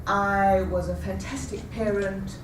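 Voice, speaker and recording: A woman in her fifties telling a rehearsed personal story with a measured, deliberate delivery. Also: medium pitch, little pitch movement, background noise. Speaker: speaker. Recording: echoey room, taken from a distance.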